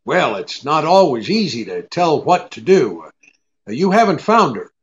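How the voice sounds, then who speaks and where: An actor playing a hard-boiled, wry private detective with laconic, slangy delivery in a 1940s-style radio drama. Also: male voice, fairly high pitch, very animated, moderate pace, muffled recording. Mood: calm, probing.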